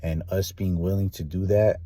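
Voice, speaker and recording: A man with a very deep, husky voice speaks earnestly in an interview, in a flat, dark tone. The sound is dry and close. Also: moderate pace, no echo. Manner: earnest, with frequent fillers. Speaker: machinist interviewee. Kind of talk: casual interview answer.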